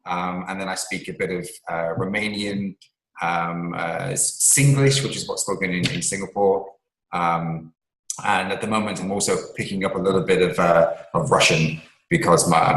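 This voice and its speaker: A man's voice: sits low, normal speed, a bit bright, echoey room, rough recording. A man from England, an author and scientist, answering interview questions at length with many ums and restarts.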